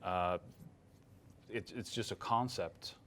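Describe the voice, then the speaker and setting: A man's voice: low-pitched, takes it slowly, a bit bright, slight background noise. A man from Sweden with a light Swedish accent, giving hesitant interview answers full of fillers and restarts. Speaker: film director.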